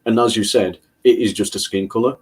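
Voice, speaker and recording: A man co-hosting a panel discussion, from Britain, talking in an earnest and measured way. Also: lowish voice, fairly even intonation, slightly rough.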